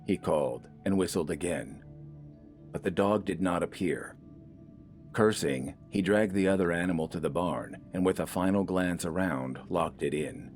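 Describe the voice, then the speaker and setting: A man reading a scripted dramatic story in a measured, deliberate storytelling manner. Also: sits low, a little mellow, soft background music. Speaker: audiobook narrator.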